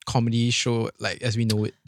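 A young man, co-hosting a podcast in quick, opinionated casual chat. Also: medium pitch, monotone, no room sound, clean studio sound. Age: young adult. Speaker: podcast co-host.

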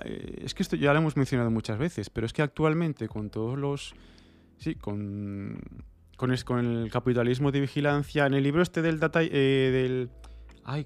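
A man with an accent from Spain co-hosting a casual discussion podcast, explaining things in a halting, digressive way with some colloquial swearing. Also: medium pitch, a little mellow, no echo. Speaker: podcast co-host.

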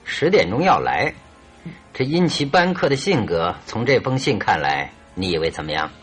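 An actor playing a teasing, self-assured male detective in a Mandarin radio drama, with a husky voice. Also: fairly low pitch, sing-song, moderate pace, fairly bright tone, soft background music. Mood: musing. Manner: chuckling, teasing, theatrical swings in delivery.

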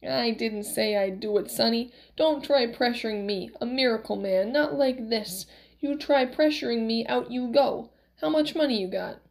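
A woman's voice: a little high, moderate pace, gravelly. A woman voicing a cranky, stubborn old man who haggles and grumbles in an audiobook story, brusque and colloquial. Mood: irritable, blustering.

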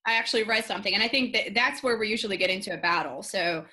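A woman hosting a podcast, chatting casually and telling things in an animated, candid way. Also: mid-range voice, racing. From Albania. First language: Albanian. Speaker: podcast host. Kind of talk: casual conversational interview.

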